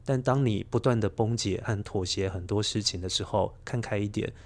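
A man's voice: fairly low pitch, normal speed. A man with a Taiwanese Mandarin accent, a children's storyteller, explaining reflectively as a podcast guest and repeating words for emphasis. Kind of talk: conversational interview, reflective explaining.

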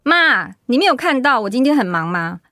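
A woman playing a resentful, sharp-tongued daughter-in-law in a radio drama, complaining and argumentative. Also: a little high, sing-song, moderate pace, no room sound, studio quality, heavily acted. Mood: exasperated complaining.

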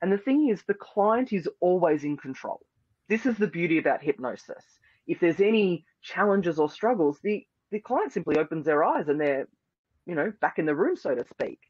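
A man's voice: high voice, very animated, moderate pace, darkish tone, husky, dry recording. A man with a slightly raised voice explains his practice in long, flowing, earnest sentences. He leans on words like "beautiful" and "powerfully". The sound is dry and muffled, like a lo-fi remote call. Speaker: hypnosis and NLP practitioner, guest. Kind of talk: interview answers, explaining.

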